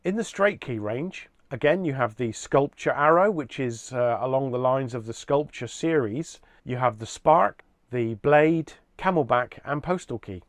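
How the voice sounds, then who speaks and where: A man giving an explanatory product presentation in a measured, orderly way. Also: mid-range voice, lively intonation, no room sound.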